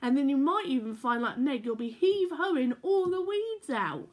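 Young woman, fairly high voice, storyteller for kids, animated read-aloud at home on a laptop camera. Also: moderate pace. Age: young adult. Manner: animated, playful read-aloud with sound effects. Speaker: church children's storyteller. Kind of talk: reading picture books aloud to children. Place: living room at home. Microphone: laptop or phone camera at arm's length.

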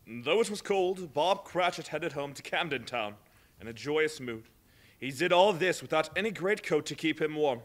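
A man's voice: a little high, lively intonation, normal speed. A man giving scripted narration in a live stage play. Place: live on a college hall stage before an audience.

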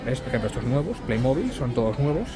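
A man's voice: medium pitch, big pitch swings, quick pace, loud music behind the voice, over a noisy crowd. A man from talking casually to his viewers as he walks and films through a theme park, full of fillers and asides. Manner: chatty, filler-heavy, addresses viewers directly. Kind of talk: casual walking-tour vlog. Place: outdoors in a theme park, then inside a shop.

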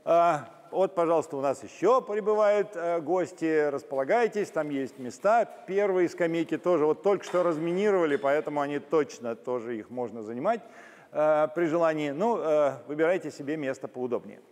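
A man's voice: on the high side, normal speed, darkish tone. An older man from Moscow giving a friendly, joking talk to a church audience, with frequent hesitation sounds. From Moscow, Russia. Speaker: guest lecturer. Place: large church hall. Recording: long hall reverb.

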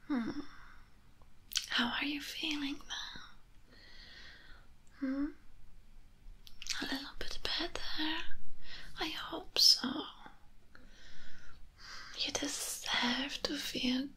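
A woman's voice: high voice, fairly even intonation, extremely slow, pauses often, bright, forceful tone. A young woman in an intimate ASMR comfort roleplay, whispering breathily and soothingly, very close to the microphone. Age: young adult. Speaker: ASMR performer. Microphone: close-up microphone, speaking into it ear to ear.

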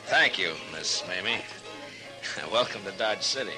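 An actor playing a steady, courteous frontier marshal in a 1950s radio western, measured and polite as he probes. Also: fairly low pitch, over music, rough recording. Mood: polite, probing. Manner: measured, polite, hesitant probing. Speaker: lead actor of a radio series. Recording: vintage 1950s radio drama recording.

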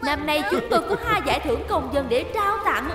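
A woman voicing a caring, alert young rescue team member in a dubbed children's cartoon, speaking earnestly and clearly. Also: sits high, lively intonation, fairly quick. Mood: cheerful announcing. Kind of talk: dubbed children's animation acting. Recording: roomy sound with loud music behind.

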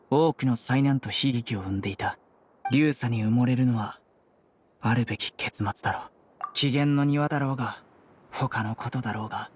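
A man voicing a stern, calm, resolute young judge in an anime game, speaking in a measured, serious, blunt way. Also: medium pitch, husky, close to an everyday voice. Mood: grave, resolute. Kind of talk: Japanese anime game voice acting.

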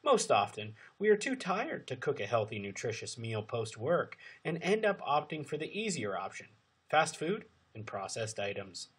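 A man reading scripted instructional narration as a narrator, with emphatic, deliberate phrasing. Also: mid-range voice, very animated, fairly bright tone, breathy edge.

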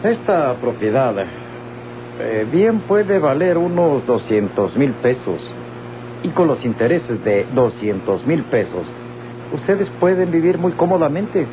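An actor playing a wealthy Mexican hacienda owner in an old radio drama, formal, insistent and persuasive. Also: male voice, on the high side, sing-song, unhurried. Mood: insistent warning. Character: wealthy, persuasive male hacienda owner pressing women to sell. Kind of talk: old radio-novela acting. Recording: noisy, humming, tinny old radio recording.

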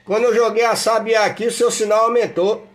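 A man's voice: sits high, steady tone, a little fast. A Brazilian man chatting casually as an amateur radio operator, enthusiastic and folksy, full of slang and jargon. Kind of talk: casual radio contact chat with running commentary.

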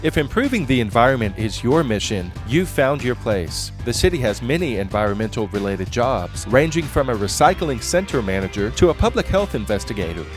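A man's voice: mid-range voice, big pitch swings, fairly quick, few pauses. A man reading scripted promotional narration, posing questions and answering them as a narrator. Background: plucked guitar music.

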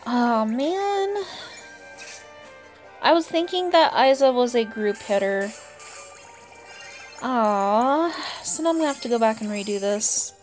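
A woman's voice: a little high, animated, takes it slowly, pauses often, faint music behind. A woman giving casual, chatty gameplay commentary as a player and channel host, often talking to herself and to the game's characters. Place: indoors, voice-over on gameplay with game music behind.

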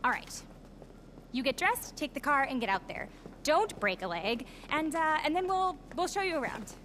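A frazzled, sarcastic production assistant. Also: female voice, high-pitched, very animated, moderate pace, fairly bright tone, slight background noise. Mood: harried. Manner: frazzled, wry, sarcastic asides. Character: harried young female production assistant, sarcastic.

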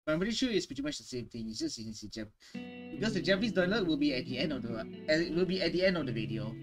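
A man's voice: on the high side, very animated, fast talker. A young man giving casual, unscripted reaction commentary on a headset mic, with hesitant, repetitive phrasing. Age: young adult. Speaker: reaction video host. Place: small room at home. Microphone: headset microphone. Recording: music behind the voice.

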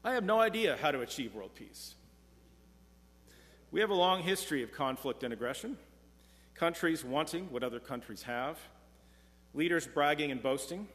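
A man's voice: fairly high pitch, fairly bright tone. Man preaching in a reverberant church. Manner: measured, earnest preaching, slowing for scripture. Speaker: pastor. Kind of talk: sermon. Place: church sanctuary, speaking from a pulpit. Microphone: pulpit mic, far camera.

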